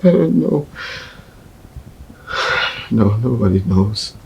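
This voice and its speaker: Man acting grieving man, low gravelly voice, slow, quiet, halting. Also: expressive, heavily acted. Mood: heartbroken. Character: heartbroken man mourning a lost relative. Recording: faint background noise.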